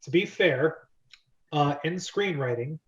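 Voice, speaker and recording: A man's voice: a little high, slow. A man hosting a casual group discussion, chatty and explanatory as he talks, on a lo-fi recording. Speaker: host of a script reading club. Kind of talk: casual group discussion with read-aloud script.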